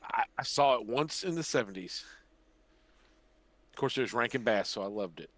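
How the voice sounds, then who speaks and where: An older man hosting a podcast in casual chat, rambling and pausing as he recalls things. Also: medium pitch, animated, a bit bright, dry recording. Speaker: podcast host.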